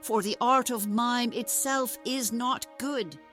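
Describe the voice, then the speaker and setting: A woman's voice: a little high, music in the background. A woman playing a solemn, wise carnival ringmaster in an audio drama, telling tales in a grave, formal manner. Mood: grave storytelling. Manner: grave, deliberate, formal storytelling.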